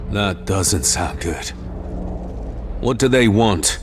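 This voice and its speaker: Determined hero. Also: male voice, on the low side, animated, takes it slowly. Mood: determined, wary. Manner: earnest, terse questions. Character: determined young male hero, wary, dryly blunt. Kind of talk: game cutscene voice acting. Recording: game audio over loud music.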